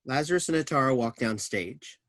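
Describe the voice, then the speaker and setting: Mid-pitched man reading stage directions. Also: lively intonation, brisk, a bit bright. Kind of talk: scripted narration at a staged play reading.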